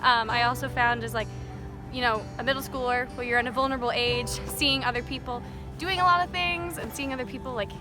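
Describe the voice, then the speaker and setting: Candid young woman, higher voice. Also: fairly even intonation, brisk, over music. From Massachusetts, US. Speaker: young interviewee. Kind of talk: interview answers.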